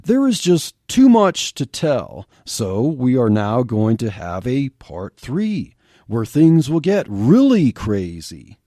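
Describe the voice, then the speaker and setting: A man hosting a podcast, reading a story aloud with expressive narration. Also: medium pitch, slow pace, dry recording, studio quality.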